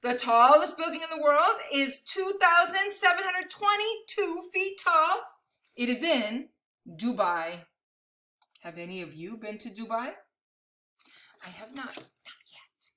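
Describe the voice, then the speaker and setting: A woman reads a children's book aloud in a high, very lively voice. Her delivery is expressive and bright, and she breaks off for chatty asides to her young listeners. The sound has the echo of a room at home. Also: unhurried. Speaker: elementary school teacher reading a picture book aloud. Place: living room at home, indoors.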